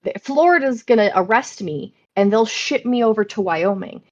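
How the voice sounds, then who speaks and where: An American woman hosting a podcast, explaining things in an informal, animated, sweary way. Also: fairly high pitch, moderate pace, muffled recording. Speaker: podcast host. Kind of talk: casual explanatory podcast chat.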